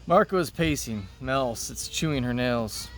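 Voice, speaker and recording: A man's voice: mid-range voice, animated, unhurried, recorded from a distance. A man speaking as a teacher, reading a children's play aloud to his students. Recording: noticeable background noise.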